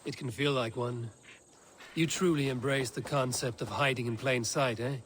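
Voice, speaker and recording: An actor playing a plain-spoken Viking warrior leader in a video game, terse, dry and wary. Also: male voice, mid-range voice, fairly even intonation, normal speed, fairly bright tone, lightly acted. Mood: blunt, reflective. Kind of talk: dramatic video game dialogue. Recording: noisy game audio.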